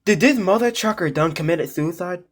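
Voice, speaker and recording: A man's voice: high voice, normal speed, slight background noise. Slangy, exclamatory man reacting. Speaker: reaction video host. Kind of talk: casual reaction commentary.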